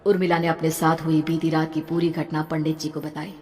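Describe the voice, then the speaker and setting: Female horror narrator, lowish voice, dramatic scripted storytelling, long reverb, faint music. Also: little pitch movement, fairly quick, a little mellow.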